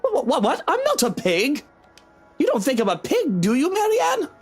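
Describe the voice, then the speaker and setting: A cheeky, flamboyant rich man in a visual novel. His pitch leaps dramatically up and down as he drawls playfully, with music underneath. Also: huge pitch swings, moderate pace, full character voice. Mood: playful, teasing. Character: Luke, cheeky, theatrical rich husband.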